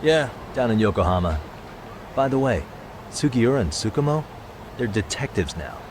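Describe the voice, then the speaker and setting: An actor playing a laid-back, wry private detective with understated delivery in a game. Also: male voice, medium pitch, big pitch swings, normal speed, background noise, close to an everyday voice. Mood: casual. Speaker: detective narrator and protagonist. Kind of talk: understated game narration and dialogue. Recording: music under the voice.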